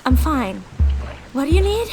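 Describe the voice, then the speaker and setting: A woman's voice: high voice, sing-song, a little slow, music in the background, full character voice. A woman playing an anxious but determined teenage girl in a fantasy audio drama, talking casually and urgently.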